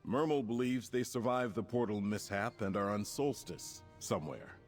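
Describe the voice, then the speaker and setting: An actor playing a resolute, formal male commander in a fantasy video game, speaking in a measured, stately way over music. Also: on the low side, lightly acted. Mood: formal resolve. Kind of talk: scripted game dialogue.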